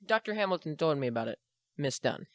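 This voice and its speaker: A woman's voice: deep voice, very animated, fast talker, dry recording. Woman playing an earnest young man, very dry sound. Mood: earnest, uneasy. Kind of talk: amateur radio play acting.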